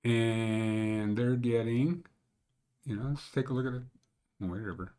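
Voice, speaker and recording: A man's voice: mid-range voice, fairly even intonation, unhurried, mellow. Rambling older Canadian man, blunt jokes. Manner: rambling, blunt, joking, sometimes crude. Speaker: mountain conditions show host. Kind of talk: casual screen-share commentary. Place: small room at home.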